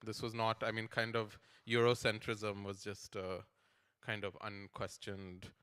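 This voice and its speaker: A young man in casual conversation, speaking haltingly and often pausing to find his words. His voice sits low and clear, with little rise and fall. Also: monotone, fairly bright tone. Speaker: writer and translator.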